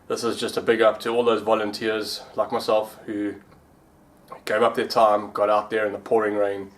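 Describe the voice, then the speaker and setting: A man with a fairly low voice talks in a casual vlog style. His delivery is informal, with pauses as he puts his thoughts together. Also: level tone. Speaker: vlogger and amateur pilot.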